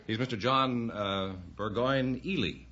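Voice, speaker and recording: A man's voice: medium pitch, a little slow, a bit bright, slight background noise. A man with an American accent announcing a 1950s radio show in a showy, projected style. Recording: muffled old radio recording with music.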